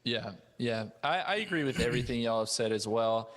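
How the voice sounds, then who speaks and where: A man's voice: medium pitch, animated, fairly quick, fairly bright tone, big-room sound. A man hosting a casual roundtable discussion on a podcast. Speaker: podcast host.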